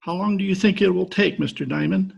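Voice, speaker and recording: An actor playing a gullible, jilted fisherman from Maine in a radio play, halting and rueful, with a gravelly, husky voice. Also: sits high, lo-fi sound, exaggerated voice. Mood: aggrieved. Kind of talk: old-time radio drama acting. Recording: remote home recording over video call.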